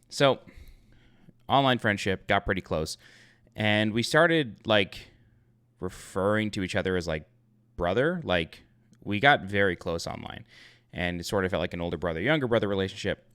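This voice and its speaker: A man's voice: deep voice, animated, fairly quick, fairly bright tone, clean tone. A man in his thirties from Long Island tells a personal story in a casual, rambling way. He corrects himself often and uses many fillers. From Long Island, New York. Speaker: YouTube channel host.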